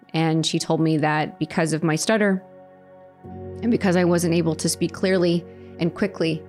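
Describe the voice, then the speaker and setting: A woman with a fairly low voice speaks earnestly about her own life, pausing and restarting as she reflects. Soft music plays faintly beneath her. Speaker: interviewee sharing her faith story. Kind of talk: personal testimony.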